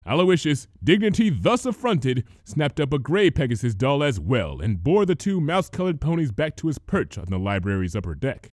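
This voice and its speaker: A man narrating a comic fantasy story as an expressive reader. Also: mid-range voice, normal speed, few pauses, no echo. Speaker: fan fiction reader.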